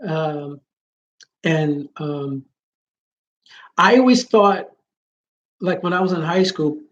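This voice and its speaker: A man with a naturally high voice who talks loudly, rambling with many fillers and restarts in a casual live chat with his viewers. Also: takes it slowly, muffled recording. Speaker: vlogger.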